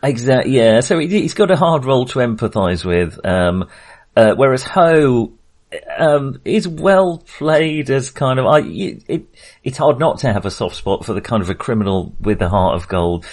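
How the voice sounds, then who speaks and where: A man's voice: medium pitch, animated, moderate pace, a little mellow. A man co-hosting a film podcast, discussing in a casual, rambling style and often correcting himself as he goes.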